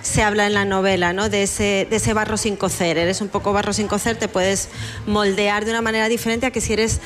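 A woman's voice: medium pitch, level tone, fairly quick, faint music behind. A woman novelist talking about her book in a casual radio interview, reflective and explanatory, often ending ideas with a questioning tag. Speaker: novelist guest.

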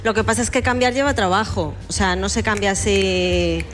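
A woman's voice: medium pitch, normal speed, a little hiss or hum. A woman novelist talking about her book in a casual radio interview, reflective and explanatory, often ending ideas with a questioning tag. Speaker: novelist guest.

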